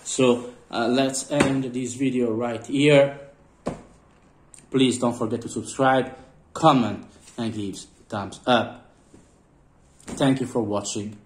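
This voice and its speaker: Hoarse man, sore throat, slow halting speech, echoey room with faint noise. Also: mid-range voice, steady tone. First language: Italian. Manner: halting, many fillers and throat clearing. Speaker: vlogger. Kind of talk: casual unboxing commentary. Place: indoors at a table.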